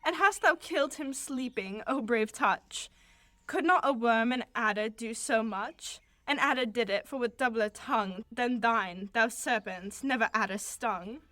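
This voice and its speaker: A woman's voice: a little high, a little slow, pushed and bright, no echo. A teenage woman playing a fiery, distraught young lover, delivering verse dramatically in an amateur Shakespeare radio play. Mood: angry, scornful. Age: late teens.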